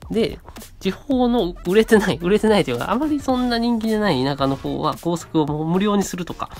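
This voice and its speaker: A man's voice: high voice, expressive, fairly quick, darkish tone. Man chatting in short phrases over music. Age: around 30. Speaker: radio show co-host. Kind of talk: casual radio monologue.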